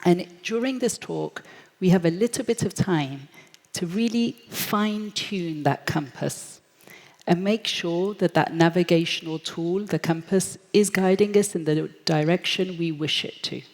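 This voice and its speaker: A middle-aged British woman giving a reflective stage talk in a large auditorium. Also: fairly low pitch. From Britain. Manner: measured, reflective storytelling. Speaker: speaker. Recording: echoey hall.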